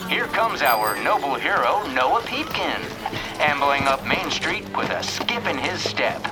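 An actor playing a folksy, theatrical small-town radio host with showy, deadpan comic patter. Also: male voice, fairly high pitch, a little slow, loud music behind the voice, rough recording. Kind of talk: old-time radio hosting, comic scripted narration.

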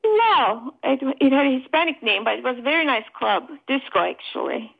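A woman's voice: high-pitched, big pitch swings, normal speed, creaky, breathy edge. A middle-aged woman chatting casually as a phone-in caller, over a thin telephone line. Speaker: phone-in caller, host's mother. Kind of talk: casual chat.

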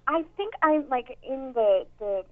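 A young actress with a somewhat deep voice, chatting casually in an interview with many fillers and restarts. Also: female voice, lively intonation, measured pace, crowd noise. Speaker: actress and voice actor. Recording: tinny phone-like line.

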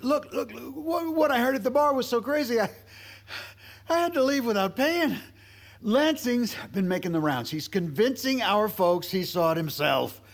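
A man's voice: very high voice, animated, moderate pace, creaky, exaggerated voice. An actor playing a jovial, hard-drinking male vice president in a period audio drama, with a wisecracking, rambling manner. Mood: flustered. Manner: chuckling, wisecracking.

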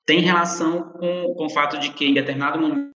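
A man, a novelist guest in an interview, speaking haltingly with many restarts. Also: a little high, fairly even intonation, highs cut off. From interior of Ceará, Brazil. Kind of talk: casual panel interview. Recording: echoey room with long reverb.

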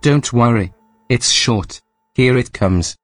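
A controlling station AI in a sci-fi audio drama, with a medium-pitched male voice. He is coldly polite and sardonic, choosing each phrase deliberately to threaten. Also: a bit bright, no echo, soft background music. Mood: coldly menacing. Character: coldly menacing, controlling male artificial intelligence. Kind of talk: science-fiction audio drama acting.

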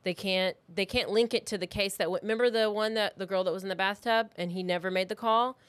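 A woman's voice: mid-range voice, steady tone, fast, clear, resonant tone, dry recording. A woman co-hosting a true crime podcast, telling the story informally from her notes in a conversational way.

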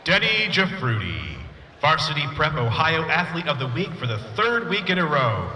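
An actor playing a booming high school sports announcer over an echoey, distant stadium PA in an audio drama. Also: male voice, very animated, normal speed, bright, forceful tone, a little hiss or hum, heavily acted. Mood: showy.

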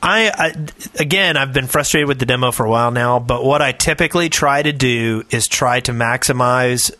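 A man's voice: mid-range voice, a bit bright, no echo. A man co-hosting a podcast, chatting casually. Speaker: podcast co-host. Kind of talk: casual chat.

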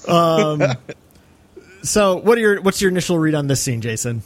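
A man's voice: a little high, animated, normal speed. A man co-hosting a podcast, giving opinions in a casual, conversational film analysis. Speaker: podcast co-host.

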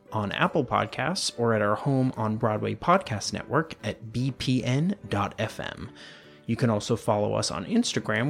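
A man's voice: mid-range voice, vocal fry. Male podcast host over guitar music. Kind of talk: scripted podcast introduction and outro. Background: music bed with guitar.

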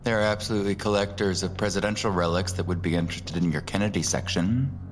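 Actor as a deep-voiced, dry antiques appraiser. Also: male voice, long reverb tail, soft background music, close to an everyday voice. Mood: dry, frank. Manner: dry, professional, deliberate. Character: shrewd, measured male antiques appraiser. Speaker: actor in an audio drama. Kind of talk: dramatic audio fiction acting.

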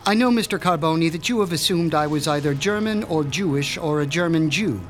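Man doing a pompous mad-scientist doctor, kinda high voice, super formal and precise, audio drama. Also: a little fast, faint music behind, natural voice. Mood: measured. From Switzerland. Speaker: mob doctor. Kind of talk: radio drama acting.

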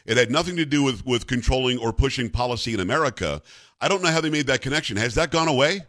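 A man's voice: medium pitch, animated, quick pace, fairly bright tone. A man hosting a talk show, interviewing a guest in a friendly, conversational way with some stumbling repeats. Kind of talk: casual interview.

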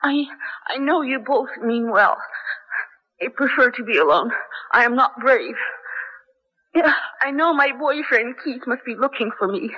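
A woman's voice: fairly high pitch, animated, measured pace, long pauses, bright, forceful tone, heavily acted. An actress playing a frightened young female student prisoner, anxious and pleading, in a radio drama. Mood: fearful, tearful. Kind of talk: 1970s radio drama acting. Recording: dull lo-fi radio broadcast, music behind.

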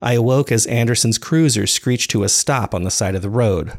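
A man reading scripted first-person dramatic narration in a measured storytelling manner. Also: mid-range voice. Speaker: narrator of a serial fiction podcast.

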